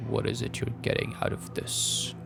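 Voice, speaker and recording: Man voicing a menacing, breathy female monster. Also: music in the background, natural voice. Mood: annoyed. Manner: slow, haughty, formal speech. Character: menacing female forest monster, contemptuous of humans. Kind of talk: visual novel character voice.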